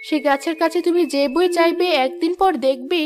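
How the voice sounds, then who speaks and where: Woman voicing a high, gentle, kindly fairy. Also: brisk. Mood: mysterious. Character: kindly female knowledge fairy, helpful and solemn. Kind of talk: cartoon voice acting.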